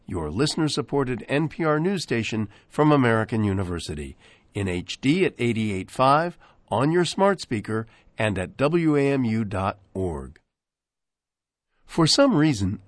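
Animated male radio host, dry studio. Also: medium pitch, no echo. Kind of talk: scripted public radio presenting. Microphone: close studio microphone.

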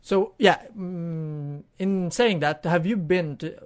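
A woman hosting a relaxed interview, asking questions informally and feeling her way into each one. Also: fairly low pitch, takes it slowly. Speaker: interviewer, host. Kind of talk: casual conversational interviewing.

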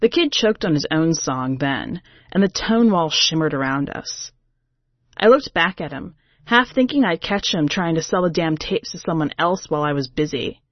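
A woman with a lowish voice narrates fiction in the first person. Her delivery is colloquial and streetwise, like someone recounting a story. The recording is very dry and a little dull. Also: lively intonation, normal speed, dry recording. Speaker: podcast host and story reader.

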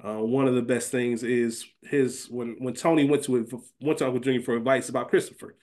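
A man's voice: medium pitch, fairly even intonation, brisk, a little mellow. A man co-hosting a podcast, chatting casually in colloquial, slangy language. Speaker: podcast co-host. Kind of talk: casual chat.

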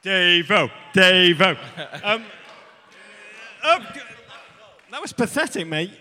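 A man's voice: high voice, sing-song, slow pace, sharp tone. A British man co-hosting a live stage interview with jokey, theatrical banter. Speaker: co-host interviewing a guest on stage. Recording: echoey theater hall with live audience.

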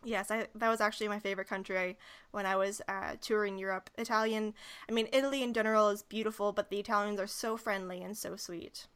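A woman's voice: medium pitch, steady tone, fast, fairly bright tone, smooth. A woman hosting a podcast, chatting casually and gushing with praise for her guest. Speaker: podcast host. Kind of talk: casual interview chat.

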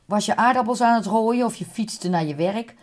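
Female presenter with a mid-pitched voice. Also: moderate pace. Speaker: presenter and interviewer. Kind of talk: conversational narration and interviewing.

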